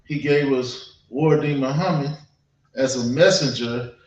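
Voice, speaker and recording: A man's voice: mid-range voice, steady tone, a little mellow. Echoey, distant man preaching. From United States. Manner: halting, repetitive, conversational with frequent check-ins. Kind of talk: informal sermon, public address. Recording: dull, echoey room, distant mic.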